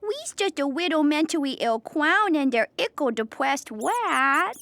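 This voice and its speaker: A woman's voice: sits high, big pitch swings, a little slow, a bit bright, dry recording, pitched above the performer's own voice, full character voice. A woman voicing a cutesy little clown who begs in wheedling baby talk for an audio drama.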